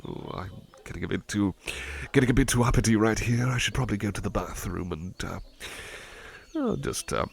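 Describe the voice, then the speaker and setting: A man's voice: fairly low pitch, a little fast, vocal fry, slightly rough. A man playing a devoted, affectionate butler in an ASMR roleplay, speaking politely and tenderly with hesitant stammers. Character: devoted, affectionate male butler, doting and flustered. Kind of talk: ASMR roleplay, soft personal attention.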